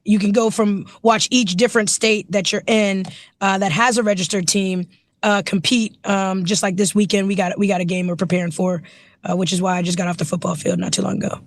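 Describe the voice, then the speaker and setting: Hoarse raspy-voiced woman coach. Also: medium pitch, steady tone, brisk, no room sound. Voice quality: naturally raspy voice. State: hoarse from yelling at practice. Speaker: running backs coach, guest. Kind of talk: casual interview.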